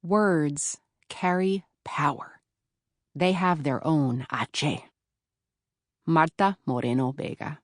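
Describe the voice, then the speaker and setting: A woman from Philadelphia narrates her memoir in a calm, measured voice that sits low in pitch. The sound is dry and close, with no room around it. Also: dry recording. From Philadelphia, USA.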